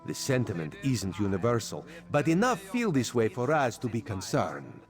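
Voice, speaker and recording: An actor playing a sly, watchful cat-man spy in a fantasy video game, with a measured, theatrical delivery. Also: medium pitch, sing-song, faint music behind, lightly acted. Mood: concerned. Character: sly, watchful male cat-folk spy speaking in third person. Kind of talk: video game character dialogue.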